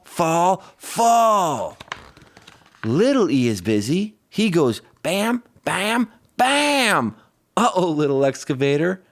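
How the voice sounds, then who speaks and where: A man reading a rhyming children's picture book aloud, playfully stretching and stressing the sound words. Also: medium pitch.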